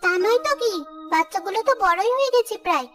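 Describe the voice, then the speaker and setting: A very high, childlike cartoon mother bird, with music playing prominently behind. The little creature is crafty and bold, stubbornly standing up for itself. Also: lively intonation, quick pace. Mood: sly, needling. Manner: sly and defiant.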